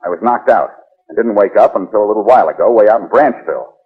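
Wry radio detective, low husky voice, terse commands, muffled vintage recording. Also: male voice, animated, fast talker. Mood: exasperated. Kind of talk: 1940s radio drama acting.

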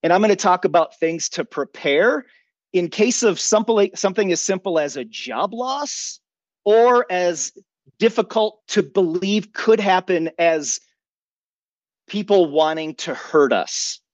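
A man's voice: high-pitched, expressive, unhurried, dry recording, highs cut off. A man giving long, opinionated explanations as an interview guest, earnest and conversational, often posing rhetorical questions. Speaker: podcast guest, emergency preparedness expert.

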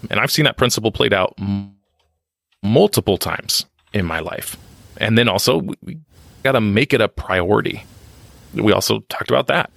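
A man's voice: lowish voice, lively intonation, normal speed, gravelly, a bit bright, dry recording. A man talking candidly in a podcast interview, reflective and self-deprecating, often restarting his sentences. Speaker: podcast guest on leadership and success.

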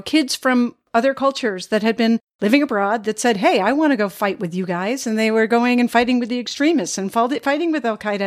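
A woman hosting a conversational interview, working her way tentatively through long, meandering questions. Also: fairly high pitch. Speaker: interviewer and host.

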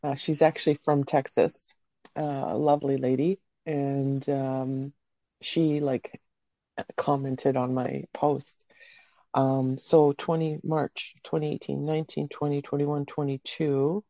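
A woman with a low, warm, mellow voice chats as a podcast guest, rambling candidly and dropping the odd curse. The recording is dry and a bit dull and lo-fi. She grew up in Canada. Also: level tone, takes it slowly, dry recording. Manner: candid, rambling storyteller with casual swearing. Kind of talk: casual interview chat.

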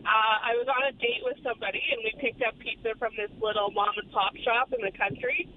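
A woman's voice: fairly high pitch, fairly even intonation, fairly quick. A woman phones in to a radio show and tells an embarrassing story with sheepish humor. Her voice comes through a narrow, tinny phone line with a faint hiss. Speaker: caller. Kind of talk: telling a story on a phone call.

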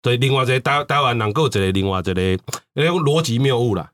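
A man's voice: mid-range voice, lively intonation, quick pace, fairly bright tone, a little hiss or hum. A man with a Taiwanese accent explaining things casually as a talk-show host, often repeating words for emphasis. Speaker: podcast host.

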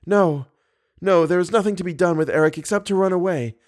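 A man's voice: high voice, brisk, voice pushed up, lightly acted. A man reading an audiobook, voicing a frightened, earnest and passionate young woman. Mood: firm, fearful. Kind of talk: audiobook character dialogue.

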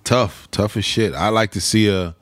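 A man guest chatting casually, slangy and animated, laughing often. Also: fairly low pitch, moderate pace, no echo, clean studio sound. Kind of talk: casual chat.

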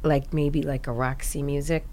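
A woman's voice: low-pitched, level tone, normal speed, dark tone. A woman hosting a podcast as a life coach, friendly and encouraging as she asks her guest questions.

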